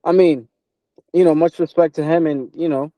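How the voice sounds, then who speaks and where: A young male boxer from Portugal, talking as a guest in a casual interview. His voice is relaxed and a bit high for a man, recorded close and dry. He talks haltingly, circling back on phrases with plenty of "you know". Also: dry recording. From Portugal, moved to the US at twelve. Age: young adult.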